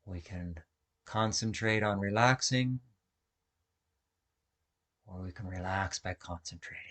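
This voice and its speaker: A man leading a guided meditation as instructor, speaking gently in short, measured phrases with long pauses between them. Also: sits low. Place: online video call session.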